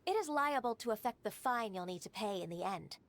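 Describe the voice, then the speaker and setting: A woman voicing a composed, formal female secretary in a video game, polite and measured, over game music. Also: medium pitch, expressive, natural voice.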